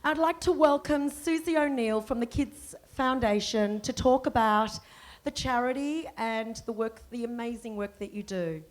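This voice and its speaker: A woman's voice: on the high side, moderate pace. A woman hosting a book launch, gushing with praise as she introduces the speakers. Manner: gushing, warm praise with little laughs. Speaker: event host. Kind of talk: introductory speech.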